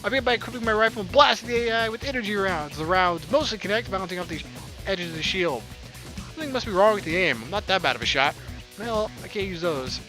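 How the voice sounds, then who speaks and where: A man's voice: high-pitched, animated, fast talker. A man reading a visual novel aloud for a let's play, jokey and playful in his narration. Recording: game music behind.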